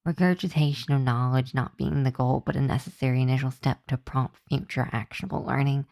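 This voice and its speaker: Woman doing a teasing vampire college girl, asmr roleplay, mellow slow voice, very dry close sound, playful. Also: very deep voice, steady tone, no room sound. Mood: teasing, argumentative. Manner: playful, teasing, drawn-out delivery with breathy asides.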